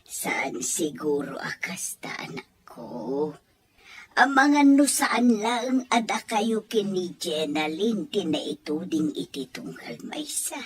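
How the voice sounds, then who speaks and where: A woman playing a gentle, concerned elderly mother and grandmother in an audio story drama, with a husky, breathy voice. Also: mid-range voice, lively intonation, slow pace, slight background noise. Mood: gently concerned.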